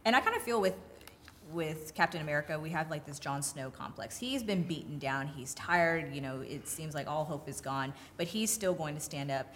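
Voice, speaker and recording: A man's voice: on the high side, moderate pace, fairly bright tone, slight background noise. A man co-hosting a podcast, chatting casually about films. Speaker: podcast co-host reviewing films. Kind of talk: casual film discussion.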